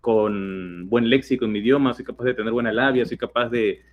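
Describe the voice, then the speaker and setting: A man's voice: medium pitch, fairly even intonation, brisk, dull audio. A teenage man from Peru with a Peruvian accent, giving a webinar talk in an explanatory way with many fillers and restarts. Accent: Peruvian Spanish. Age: teens. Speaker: guest speaker.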